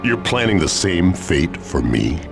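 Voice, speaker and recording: A commanding crime lord in a game cutscene. His deep voice is formal and measured, coldly calculating, with loud music behind it. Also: sing-song, gravelly, full character voice. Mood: cold, controlled. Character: imposing crime boss, commanding calculating adult man. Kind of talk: video game cutscene acting.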